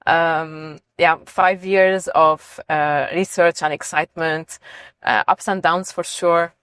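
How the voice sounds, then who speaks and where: A woman's voice: deep voice, fairly even intonation, slow, fairly bright tone, clear, resonant tone, no echo. A middle-aged woman from Lebanon giving conversational, enthusiastic answers as an interview guest on research work.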